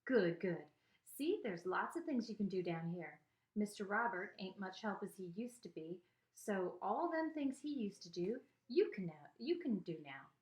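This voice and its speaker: A woman's voice: mid-range voice, animated, measured pace, lightly acted. A woman voicing a feisty, doting old Southern grandmother in a story, folksy, bossy and affectionate, with a Southern US accent. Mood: fond, bossy. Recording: echoey room.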